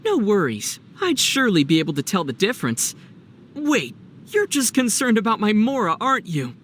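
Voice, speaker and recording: A man playing a gentle, thoughtful young adventurer in a video game, calm and lightly teasing. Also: high-pitched, moderate pace, a little hiss or hum, exaggerated voice. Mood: reassuring, teasing.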